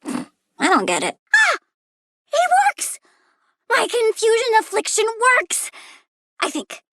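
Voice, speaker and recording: A girlish plant supervillain from a video game, pitched very high and swooping freely between chirpy delight and sulky threat. She is perky, odd and cheerfully menacing, and the recording is close and dry. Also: dry recording, exaggerated voice. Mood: excited, menacing. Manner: cutesy, playful, swinging from chirpy excitement to sulky menace. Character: childlike plant-girl supervillain, perky, eccentric, gleefully menacing. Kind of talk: video game character voice lines.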